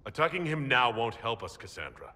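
A man's voice: mid-range voice, very animated, fairly quick, pushed and bright. A man playing a commanding, level-headed leader in a video game, authoritative and reasoning. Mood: stern. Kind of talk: dramatic video game acting. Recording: long hall reverb.

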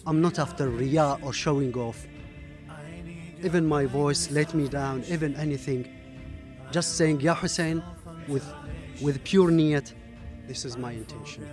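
A man, answering as a contestant in a humble, earnest way with many pauses. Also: fairly high pitch, darkish tone, faint music behind. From Iraq. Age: 40s. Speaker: talent show contestant. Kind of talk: soft-spoken interview answers.

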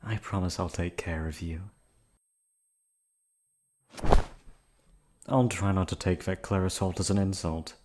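A man's voice: low voice, fairly even intonation, a little fast, noisy background, lightly acted. A man as an obsessive yandere receptionist. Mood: sinister. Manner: silky polite, turns menacing and whispery. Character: obsessive male yandere hotel receptionist kidnapper. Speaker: voice actor. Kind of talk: ASMR roleplay audio drama.

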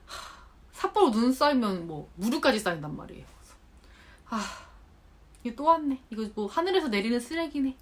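Korean woman in her 30s chatting to the camera, voice a bit high, jumps all over, acts out what people said. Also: a little fast, long pauses. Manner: chatty, animated, acts out quoted remarks. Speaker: vlogger. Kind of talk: unscripted talk to camera. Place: home in Japan.

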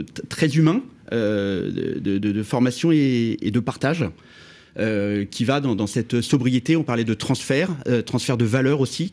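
A man's voice: mid-range voice, a little mellow. A middle-aged man speaking on a radio panel in a studio, with many restarts and repeated words as he talks about his housing work. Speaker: housing project manager. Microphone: studio mic on stand.

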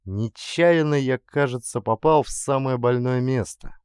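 A man narrating a literary story as an audiobook, in an expressive, actorly reading style. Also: mid-range voice, a little slow, clean tone, dry recording, studio quality.

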